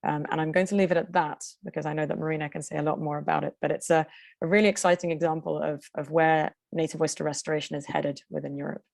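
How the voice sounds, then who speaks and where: A woman with an English accent, speaking quickly with many fillers and restarts as she presents and answers questions on a video call. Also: low-pitched, steady tone, vocal fry, darkish tone, no room sound, clean studio sound. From Denmark. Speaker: conference presenter. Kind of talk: webinar presentation and Q&A.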